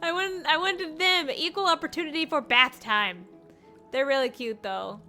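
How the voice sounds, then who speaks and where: A woman's voice: high-pitched, moderate pace, bright, forceful tone, soft background music. A woman streamer reacting to a game, giggly and teasing, laughing as she reads along. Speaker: streamer and voice actor. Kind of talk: casual gameplay commentary.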